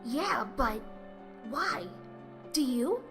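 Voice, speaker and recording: A woman's voice: sits high, expressive, very slow, music in the background, exaggerated voice. A woman voicing a hot-headed young female tenrec fighter in a comic dub, cocky, snappy and sarcastic. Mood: doubting, unsettled.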